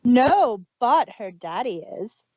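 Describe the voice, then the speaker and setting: A woman's voice: on the high side, sing-song, slow, a crowd in the background. A woman co-hosting a casual review podcast, chatting with fan enthusiasm and trailing restarts. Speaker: podcast co-host. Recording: dull lo-fi dry sound.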